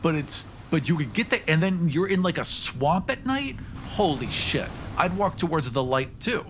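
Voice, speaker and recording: A man's voice: fairly high pitch, lively intonation, moderate pace, background noise. A man talking casually and reflectively on a podcast panel, telling things in a vivid storytelling way.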